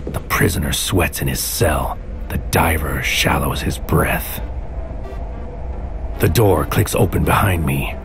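An actor playing a brooding man on a surreal mission, narrating in clipped, poetic, deliberate phrases like a noir audio drama. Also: very deep voice, gravelly, exaggerated voice. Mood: brooding, intense. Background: music.

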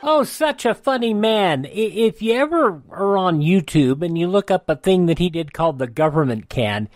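A man's voice: sits high, expressive, moderate pace, some chatter behind. An American man hosting radio, reading a this-day-in-history rundown in a casual way. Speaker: radio host.

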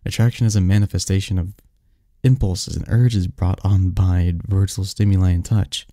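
A man's voice: sits low, steady tone, moderate pace, mellow, dry recording, clean studio sound. A man playing a snarky, cynical young research assistant in an audio drama, talking conversationally with a scoffing, pedantic manner and small stumbles. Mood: skeptical, lecturing.

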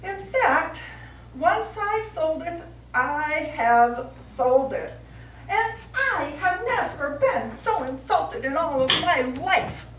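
A woman's voice: high-pitched, big pitch swings, slow pace, a bit bright, exaggerated voice. A middle-aged actress playing a pompous, easily wounded woman painter on stage, in florid, grandiloquent style. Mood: offended, wounded. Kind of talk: live stage comedy acting. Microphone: distant audience camera. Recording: echoey small theatre, distant mic, faint noise.

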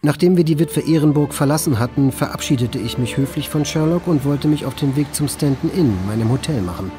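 An actor playing a polite doctor who narrates the story in a reflective, courteous manner over soft music. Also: male voice, fairly high pitch, fairly quick, close to an everyday voice. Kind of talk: audio drama narration.

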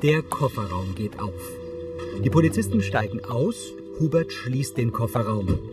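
A man's voice: medium pitch, animated, a little slow, loud music behind the voice. A man reading scripted audio description in a factual, concise manner. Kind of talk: scripted present-tense narration.

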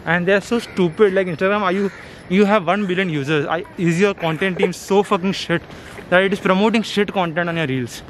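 A man's voice: sits high, fairly quick, poor audio. A man in his twenties from India with an Indian English accent, ranting bluntly and swearing in casual chat with friends outdoors. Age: 20s. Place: outdoors on a city seafront. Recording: street noise in the background.

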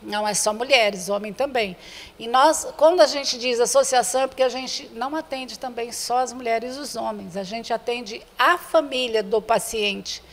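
A woman's voice: on the high side, normal speed. An older woman with a Brazilian accent, earnestly inviting the public in an interview and often repeating herself as she addresses viewers directly. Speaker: association president, interview guest. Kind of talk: spoken interview inviting the public to a charity event. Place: indoor room with bare walls. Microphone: handheld reporter's microphone held before her. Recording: reverberant room sound.

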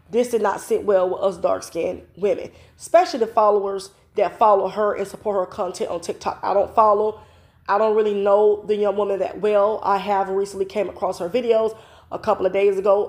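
A woman's voice: mid-range voice, a little mellow. Woman vlogger, congested with a cold, chatty opinionated commentary, phone close to the face at home. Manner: chatty, opinionated, frequent "you know" fillers. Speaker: vlogger host. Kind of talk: casual commentary vlog. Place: home, indoors. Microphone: phone camera close up.

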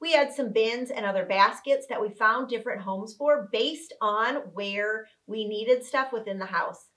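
A woman's voice: on the high side, a little slow, fairly bright tone. Upbeat woman giving organizing tips. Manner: upbeat, instructive, clear step-by-step. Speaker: home organizing vlogger. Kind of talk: home organizing tutorial. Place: living room with couch, indoors.

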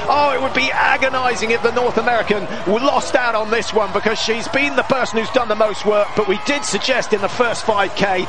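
A man giving live race commentary, excitable and often raising his voice to a shout as the action builds. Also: extremely high pitch. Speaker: sports commentator. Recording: broadcast mix with loud crowd noise.

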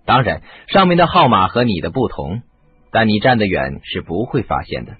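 A man's voice: fairly low pitch, wildly swooping pitch, normal speed, slightly rough, phone-quality sound, full character voice. A man acting in a radio drama as a shrewd, confident sleuth, explaining things in an assured way. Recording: band-limited audio with music.

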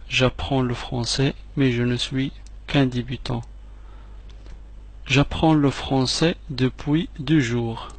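A man gives a voice-over lesson. He says each phrase slowly and clearly several times, then rushes through a short explanation. His voice sits at an ordinary middle pitch. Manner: repeats each phrase slowly, glosses it quickly in Arabic. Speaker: language teacher. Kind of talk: French lesson with slow repeated drills. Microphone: voice-over for slides.

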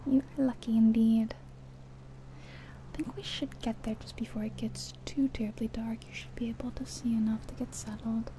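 A woman whispering softly and breathily in an ASMR roleplay as a friendly taxi driver, chatting gently and without hurry. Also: on the high side, normal speed, poor audio. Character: friendly small-town taxi driver giving a guided ride. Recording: steady car and rain noise. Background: car sounds and rain.

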